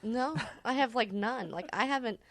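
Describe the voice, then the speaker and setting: A woman telling personal stories as a podcast guest in casual conversation, halting and deliberate, with rather formal wording. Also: fairly high pitch, a bit bright. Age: adult parent.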